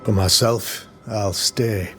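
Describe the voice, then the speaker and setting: An actor playing a solemn, self-regarding older town governor in a video game, speaking in formal, measured, archaic phrasing. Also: male voice, lowish voice, fairly even intonation. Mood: solemn, courteous. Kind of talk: dramatic video game acting. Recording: music under the voice.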